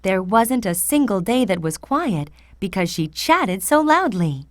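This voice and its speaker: Slow, animated woman storyteller. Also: mid-range voice, dry recording, clean studio sound. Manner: expressive, deliberate read-aloud. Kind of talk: scripted fairy tale narration for children.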